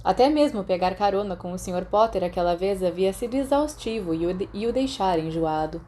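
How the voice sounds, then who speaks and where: A woman's voice: mid-range voice, expressive, fairly quick, mellow, a little hiss or hum. A woman with a Brazilian accent reads a story aloud as scripted audiobook narration. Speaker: podfic narrator.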